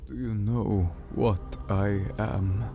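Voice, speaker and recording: An actor playing a menacing, commanding pirate in an audio drama, speaking deliberately with quiet threat. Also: male voice, lowish voice, fairly even intonation. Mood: quietly menacing. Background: music.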